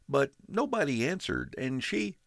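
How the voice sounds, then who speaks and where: A man's voice: medium pitch, huge pitch swings, measured pace, creaky, fairly bright tone, no echo, studio quality, voice pushed up, exaggerated voice. A man voicing a timid young boy whose voice shakes as he pleads. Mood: anxious. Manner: shaky, pleading.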